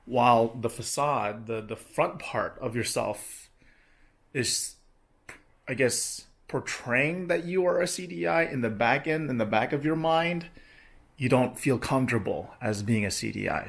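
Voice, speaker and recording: A middle-aged man with a hoarse, sore voice, rambling casually in a video diary about his work. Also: mid-range voice, a bit bright. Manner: rambling, many restarts and fillers. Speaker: medical coding vlogger.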